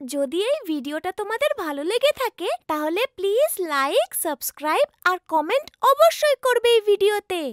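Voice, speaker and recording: A woman's voice: very high-pitched, big pitch swings, moderate pace, no room sound, clean studio sound. A woman host giving a cheerful, sing-song closing announcement for a kids' channel. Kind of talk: outro announcement.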